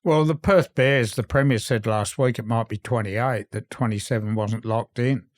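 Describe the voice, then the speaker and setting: A man's voice: medium pitch, moderate pace, no room sound. An Australian man co-hosting a sports podcast, giving blunt, opinionated views in a casual style. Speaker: podcast co-host, sports journalist.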